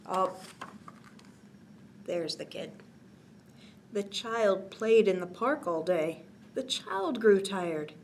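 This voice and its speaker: A woman hosting a children's bedtime storytime, reading a picture book gently and expressively with casual asides, often dropping to a near-whisper. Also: medium pitch, lively intonation, takes it slowly, pauses often, slight background noise.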